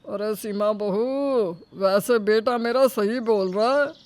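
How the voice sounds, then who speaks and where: A woman's voice: medium pitch, slow pace, darkish tone, no echo, natural voice. A woman playing a mild elderly father-in-law in a family story. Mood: mild teasing.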